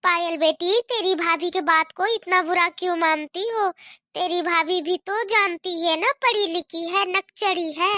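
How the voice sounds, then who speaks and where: A woman voicing an old mother who gently pleads with her married daughter to visit. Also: very high-pitched, unhurried, no echo, studio quality, exaggerated voice. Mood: pleading.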